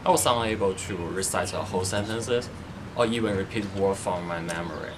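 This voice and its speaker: A man English language student reciting a prepared statement haltingly, with restarts. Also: deep voice. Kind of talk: scripted personal statement. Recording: loud background noise.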